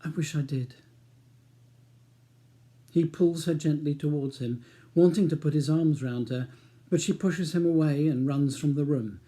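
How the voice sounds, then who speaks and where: Male author, English accent, middle-aged, mellow dark tone, slightly high pitch, audiobook reading. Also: moderate pace. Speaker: author reading own novel. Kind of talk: audiobook narration.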